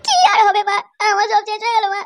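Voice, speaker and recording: Voice actor doing a heartbroken cartoon guy, really high squeaky voice, whiny and sweary, rattles words out fast. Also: flat delivery, a bit bright, soft background music. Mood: pleading. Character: lovesick young man, tearful then drunk and angry. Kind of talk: cartoon voice acting.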